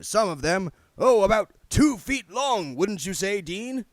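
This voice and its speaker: Storybook character: sly, feisty old male wizard, done by a male reader, high shifting pitch, coaxing and emphatic. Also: sing-song, measured pace, no room sound. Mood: exaggerating. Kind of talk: audiobook character voice.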